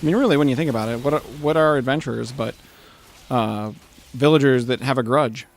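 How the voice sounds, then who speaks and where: Mid-pitched man, deadpan gaming banter. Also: brisk. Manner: tongue-in-cheek, deadpan explanations. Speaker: gaming video co-host. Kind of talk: casual bantering game commentary. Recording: faint room noise, game sounds behind.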